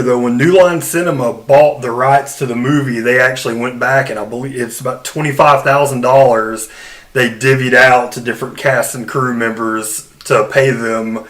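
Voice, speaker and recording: Male co-host, medium pitch, loose conversational film chat. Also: normal speed, fairly bright tone. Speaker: podcast co-host. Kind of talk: casual chat.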